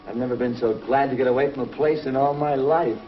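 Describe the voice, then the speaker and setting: An actor playing a confident young spaceship pilot hero in a 1950s TV drama, brash and commanding. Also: male voice, mid-range voice, steady tone, fast talker, lightly acted. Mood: teasing. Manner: cocky, commanding. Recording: dull lo-fi 1950s TV soundtrack with music.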